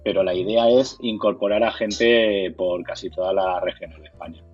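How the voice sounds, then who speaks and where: Deep-voiced Castilian man, slightly muffled recording. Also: steady tone, moderate pace, a little mellow, slightly rough, soft background music. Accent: Castilian Spanish. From Madrid, Spain. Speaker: interviewee, board game community organizer. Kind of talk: conversational interview answers.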